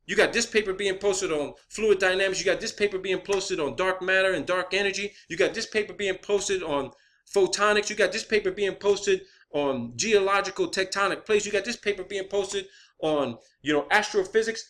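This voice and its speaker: A man's voice: high-pitched, moderate pace, a bit bright. A man speaking as an online video creator in an impassioned, profane rant, combative and emphatic, repeating phrases to drive points home.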